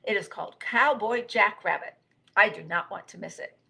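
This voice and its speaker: Woman doing an excitable, bossy storybook rabbit, bright and loud. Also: mid-range voice, moderate pace, gravelly. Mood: eager, bossy. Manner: emphatic, fretful, exclaiming. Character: excitable, bossy male rabbit, list-obsessed and easily panicked. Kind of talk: children's storybook character voice.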